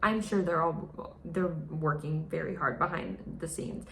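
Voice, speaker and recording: Chatty woman with a gravelly low voice. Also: a little slow, a bit bright. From United States. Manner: chatty, with many fillers, mimics others in high voices. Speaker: vlogger. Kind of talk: casual vlog chat. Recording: echoey room, faint noise.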